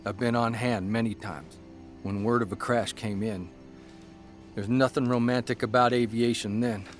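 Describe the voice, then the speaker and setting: A man's voice: medium pitch, fairly even intonation, normal speed, faint music behind, close to an everyday voice. A man playing an earnest, self-deprecating, plain-spoken newspaper columnist narrating his own stories in an audio drama, in a folksy way. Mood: somber, reflective. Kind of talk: scripted first-person audio drama narration.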